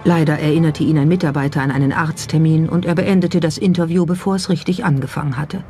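A narrator reading a scripted documentary in a measured storytelling way, often posing rhetorical questions. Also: mid-range voice, fairly even intonation, dark tone. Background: background music.

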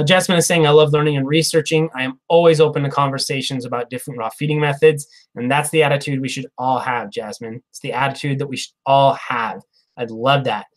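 A man in his thirties hosting a casual live Q&A stream, reading viewer comments in a chatty, upbeat way with frequent laughs, recorded on a lapel mic in a small room. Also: medium pitch. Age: 30s. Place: small room, plain wall behind. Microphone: clip-on lapel mic.

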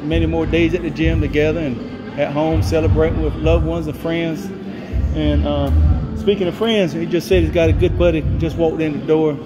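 A man's voice: on the high side, steady tone, normal speed, rough recording. A middle-aged American father giving folksy, casual running commentary to viewers while filming in a gym. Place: large indoor gym. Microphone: handheld camera, held by the speaker. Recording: loud music behind, gym noise. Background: loud music.

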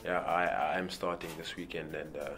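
A young South African rugby player in his twenties answering interview questions in a relaxed way, repeating words and using many "you know" fillers. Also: sits low, normal speed, vocal fry. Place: indoor press backdrop.

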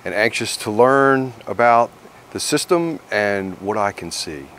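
A man's voice: lowish voice, lively intonation, a little slow, a bit bright, a little hiss or hum. A man in his sixties, a residential trainer, speaking plainly and reflectively outdoors on a lapel mic. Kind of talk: testimonial interview. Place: outdoors by a log cabin.

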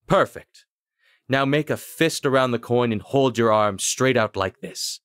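A man voicing an elegant, mysterious stage magician in an audiobook, theatrical, measured and courteous. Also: mid-range voice, fairly bright tone, no room sound, studio quality, voice pushed up, close to an everyday voice. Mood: commanding, mysterious.

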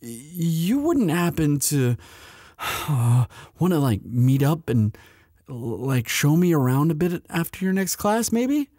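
Man playing a slow, mellow young demon student. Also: fairly high pitch, sing-song, no echo, studio quality, full character voice. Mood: flustered, smitten. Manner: hesitant stammering when shy, firm when angry. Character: sweet, flustered, protective young male demon university student. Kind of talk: intimate audio roleplay addressed to the listener.

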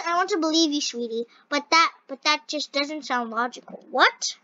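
High, scratchy-voiced young girl gamer. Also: normal speed, sharp tone, no echo. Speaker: gaming video host. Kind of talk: gameplay commentary, reading on-screen dialogue aloud. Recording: dull, lo-fi close microphone.

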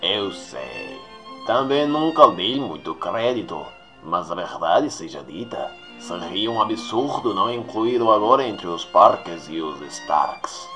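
A mocking skull-faced despot from a comic dub, acted by a man. He is very deep and unhurried, theatrically menacing, with clear music behind him. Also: very animated, bright, forceful tone, exaggerated voice. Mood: smug menace. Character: sneering, gloating villain tyrant, a masked skull-faced supremacist ruler. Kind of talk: motion comic fan dub acting. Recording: music under the voice.

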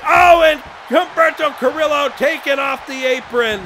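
A man losing his voice, shouting excited play-by-play for a wrestling video game. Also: very high voice, normal speed. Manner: shouting excitedly over the action. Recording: game crowd noise behind voice. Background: video game arena crowd and sound effects.

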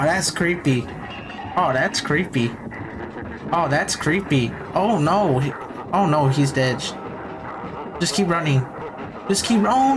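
A man giving a casual, slangy live reaction to a scary video, pleading with the screen in short repeated phrases. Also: fairly high pitch. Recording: noisy with mains hum.

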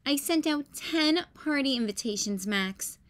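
A woman's voice: sits high, animated, a little slow, pitched above the performer's own voice. A woman reading a storybook as a bossy, puzzled young big-sister bunny, in an emphatic, exclaiming way. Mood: organizing. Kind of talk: children's storybook read-aloud.

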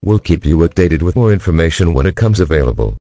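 A man's voice: deep voice, very animated, quick pace, mellow, dry recording, lo-fi sound. A man voicing a male TV news anchor reading breaking news in a formal newsreader manner. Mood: grave. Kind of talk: mock TV news broadcast.

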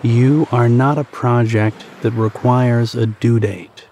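A man narrating a guided meditation in a soothing, deliberate manner, pausing between short phrases. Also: mid-range voice, steady tone, a little mellow, a little hiss or hum.

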